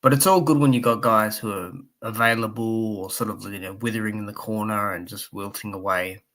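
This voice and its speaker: Croaky low-voiced man streaming live. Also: fairly even intonation, brisk, a bit bright. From Australia. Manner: rambling, many fillers, reads chat aloud. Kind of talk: casual live stream commentary.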